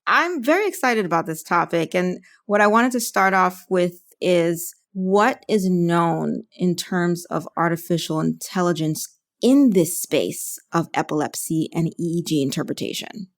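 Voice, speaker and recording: Female medical podcast host, lower register, putting prepared interview questions. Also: measured pace. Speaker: neurologist.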